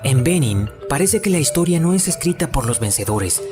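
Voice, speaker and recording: A man's voice: fairly high pitch, very animated, moderate pace. A man with a Latin American Spanish accent reading scripted documentary narration as an amateur voice-over. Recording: music behind the voice. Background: background music.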